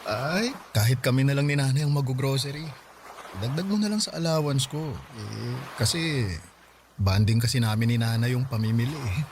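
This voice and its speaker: An actor playing a vain, wheedling young kept boyfriend in a Tagalog radio drama, whining and coaxing cutely. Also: male voice, medium pitch, moderate pace, slight background noise, close to an everyday voice. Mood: delighted, coaxing. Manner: whiny, coaxing, cutesy pouting. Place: studio recording with music behind.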